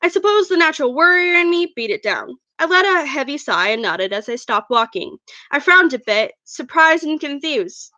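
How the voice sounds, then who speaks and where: A woman's voice: sits high, lively intonation, moderate pace, bright, forceful tone, dry recording, muffled recording. A woman reading her own fan fiction aloud as first-person narration, an amateur who stumbles over words now and then.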